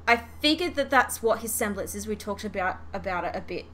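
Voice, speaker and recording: A woman with a bright, forward voice chats casually as a podcast host. She is expressive and swears freely. The recording has faint noise behind her. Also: mid-range voice, a little fast. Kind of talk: casual fan chat reviewing a show.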